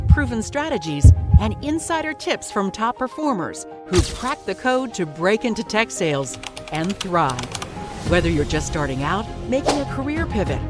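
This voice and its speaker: Woman reading a podcast intro, pitch bouncing all over, upbeat promo read, music playing loud underneath. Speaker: show announcer. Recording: clear music bed under voice.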